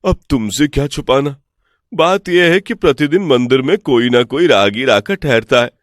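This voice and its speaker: Man playing an excitable, gullible village priest. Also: medium pitch, normal speed, no room sound, studio quality, natural voice. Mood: eager, confiding. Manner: excitable, credulous, questioning. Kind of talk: animated Hindi folk tale voice acting.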